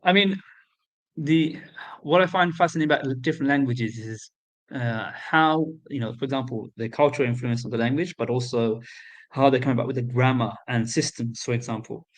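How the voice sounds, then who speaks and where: A man calling into a livestream, chatting casually in a halting way with many pauses and restarts. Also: medium pitch. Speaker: caller on a livestream. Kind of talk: casual chat.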